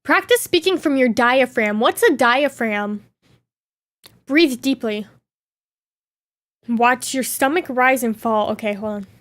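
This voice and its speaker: A woman's voice: high-pitched, lively intonation, slow pace, long pauses, a bit bright, no room sound. A woman streamer chatting casually, reading viewer questions aloud and reacting playfully with frequent giggles. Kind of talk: casual live Q&A chat.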